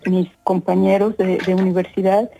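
Woman, fifties, Mexican accent, flat intonation, soft mellow tone, hesitant interview delivery. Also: mid-range voice, moderate pace, vocal fry, low-quality recording. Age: 50s. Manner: hesitant, often repeats words while searching for phrasing. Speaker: interview guest, corporate professional. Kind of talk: conversational interview answers.

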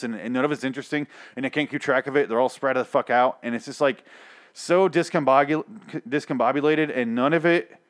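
A young man reviewing a TV episode in an exasperated, profane rant, full of restarts and rambling asides, his voice getting worn. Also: mid-range voice. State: losing his voice. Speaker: TV show reviewer.

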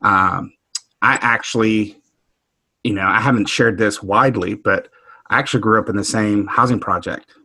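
A middle-aged man from Houston reflects aloud in a conversational discussion, often pausing and restarting his sentences. His voice is bright and a touch low for a man. The sound is close and dry, with no room around it. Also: fairly even intonation, husky, no echo. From Houston, Texas. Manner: thoughtful, halting with frequent restarts. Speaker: discussion panelist.

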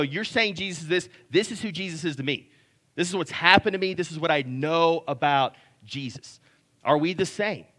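A man, preaching a conversational sermon that is full of restarts and "right?" checks. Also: pushed and bright. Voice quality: deep voice. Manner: conversational, repeats words, frequent "right?". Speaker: pastor.

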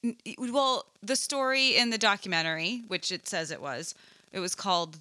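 A woman talking casually among others in a wry, dry way. Her voice is bright and forward, at an ordinary middle pitch. It is relaxed conversation, nothing scripted. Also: moderate pace. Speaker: participant in a group conversation. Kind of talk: casual chat.

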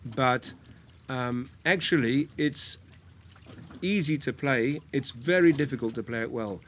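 A man from near London, a guitar teacher who tells relaxed, rambling stories on a podcast. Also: medium pitch, unhurried, a little hiss or hum. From England, near London. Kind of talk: podcast conversation. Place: on a boat in a harbour.

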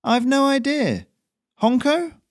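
A man's voice: sing-song, slow pace, exaggerated voice. A man putting on a cheeky, breezy young courier voice. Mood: breezy teasing. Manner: breezy, teasing, high-spirited. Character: cheeky young male goose-riding courier.

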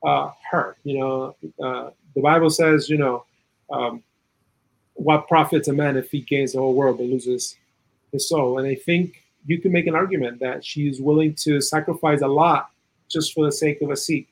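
A man from South America giving earnest, opinionated political commentary in a conversational way, with a husky voice and plenty of "you know". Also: a little high, steady tone, normal speed, poor audio. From South America, Chile. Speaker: interview guest, political and legal commentator.

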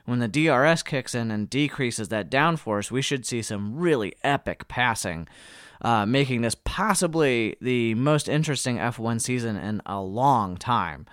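Man, American accent, podcast host, smooth voice with a cold, slightly wheezy, wry scripted news delivery, dry close recording. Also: medium pitch, expressive, normal speed, a bit bright, no echo. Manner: wry, tongue-in-cheek. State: fighting a cold. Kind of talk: scripted news rundown with casual asides.

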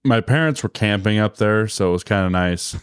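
A very low, bassy man chatting on a hunting podcast with his friends. He tells stories and acts out the other people's lines. He is recorded dry and close to the mic. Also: a little fast, clear, resonant tone, dry recording. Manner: storytelling, acts out quotes. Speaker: podcast co-host. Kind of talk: casual chat.

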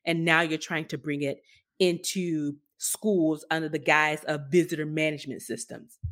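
Low-voiced woman, opinionated podcast co-host. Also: fairly even intonation, normal speed, a bit bright. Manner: opinionated, emphatic, skeptical. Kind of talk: tech news commentary, conversational.

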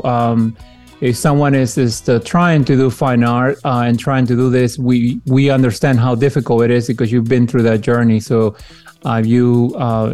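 A man's voice: medium pitch, mellow. A man from Peru hosting a podcast interview, talking casually with many fillers and restarts over a video call. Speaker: podcast host.

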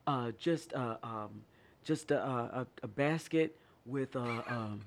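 A man playing an eager, overexcited actor in an improv comedy scene, gushing and stammering in quick bursts. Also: medium pitch, a little hiss or hum. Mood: earnest.

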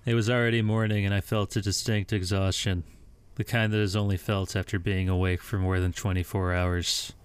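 Young man playing a low-voiced, haunted, sleepless narrator. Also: level tone, smooth, dry recording, lightly acted. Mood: weary, haunted. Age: young adult. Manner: literary, deliberate, grave. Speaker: student voice actor and narrator. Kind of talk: scripted first-person audio drama narration. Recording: noisy home recording.

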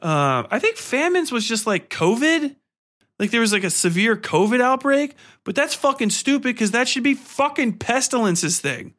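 A man's voice: very high-pitched, big pitch swings, normal speed, fairly bright tone. A man hosting a podcast in a casual, profanity-laced review style, blunt, exasperated and sarcastic. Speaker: podcast host. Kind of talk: casual ranting film review.

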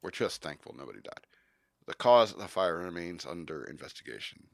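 A man's voice: low voice, very animated, fairly quick, gravelly, dry recording, clean studio sound. A man hosting a podcast, rambling and joking in casual banter. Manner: rambling, jokey, self-promoting. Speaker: podcast host.

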